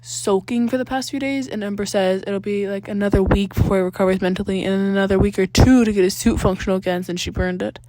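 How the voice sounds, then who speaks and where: A woman's voice: mid-range voice, fairly even intonation, fast talker, hardly any pauses, mellow, no echo, natural voice, poor audio. Woman as defensive, awkward teenage boy. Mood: insistent. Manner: hesitant, stammering, protesting. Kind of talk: acted fan fiction dialogue.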